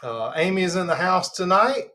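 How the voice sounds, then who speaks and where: A man's voice: sits high, moderate pace. Stuffy-nosed Southern man hosting a livestream. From Georgia, US. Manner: relaxed and rambling, many fillers. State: stuffed up nose. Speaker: host of a live health channel. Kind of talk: casual livestream chat.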